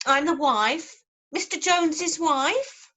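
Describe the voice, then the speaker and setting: An actress playing a hyper, snooty secretary and solicitor's wife, officious and drawn-out, in a table read over a video call. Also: female voice, high-pitched, sharp tone, full character voice. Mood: brightly officious. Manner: officious, pointedly drawn-out delivery.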